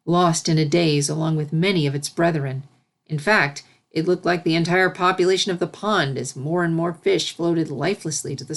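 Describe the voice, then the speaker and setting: A woman reading a fantasy story aloud as its narrator, in scripted narration. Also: low-pitched. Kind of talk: scripted narration of fan fiction.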